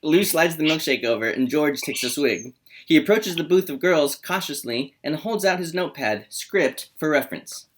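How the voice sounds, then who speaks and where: A man's voice: a little high, lively intonation, normal speed, fairly bright tone. Male narrator reading a screenplay. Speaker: host and narrator. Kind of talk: screenplay narration in a comedy table read.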